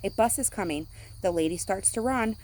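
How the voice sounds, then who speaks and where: A woman's voice: mid-range voice, a little fast, darkish tone, slight background noise. A woman reading a picture book aloud to children, speaking simply like a teacher to young listeners. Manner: acts out sound words.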